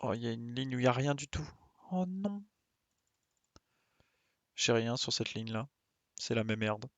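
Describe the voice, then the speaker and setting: A man's voice: medium pitch, expressive, normal speed, fairly bright tone, dry recording. A man giving casual live game commentary, muttering his reactions to himself. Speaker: game streamer.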